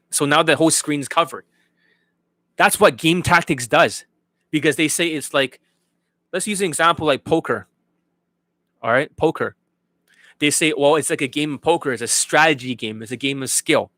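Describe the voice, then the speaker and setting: A man's voice: on the high side, normal speed, pauses often, bright, forceful tone, no echo. Blunt, profane man on a dry mic. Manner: blunt, profane, repeatedly asks if viewers get it. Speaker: dating coach. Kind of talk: unscripted livestream rant to viewers.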